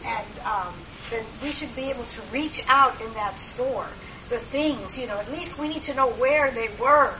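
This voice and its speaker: Older woman, rambling church sharing, higher voice, distant mic, noisy. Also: normal speed, few pauses. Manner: rambling, with many fillers and restarts. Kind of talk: testimony at a church meeting. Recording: distant mic, background noise.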